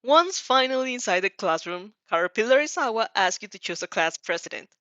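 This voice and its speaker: Woman, expressive story narration, amateur, stumbles over words, very animated, dry close recording, slightly muffled. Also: medium pitch, a little fast, no room sound. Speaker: amateur fan fiction reader.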